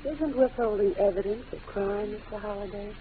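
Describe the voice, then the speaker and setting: A thin, noisy vintage radio sound frames a genteel young woman played by an actress. She is poised yet defensive, with mid-pitched, theatrical delivery from forties melodrama. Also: fairly quick, natural voice. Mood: indignant, guarded. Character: poised, defensive young woman shielding her guilty father. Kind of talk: 1940s radio melodrama acting. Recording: tinny, noisy old radio broadcast.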